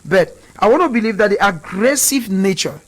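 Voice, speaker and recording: High-voiced man interjecting in a debate. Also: lively intonation, normal speed. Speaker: panel guest. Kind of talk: heated panel debate.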